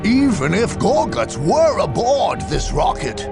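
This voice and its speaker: A man giving grave, theatrical scripted narration for a war video game, with deliberate emphasis. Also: high voice, wildly swooping pitch, creaky, over loud music.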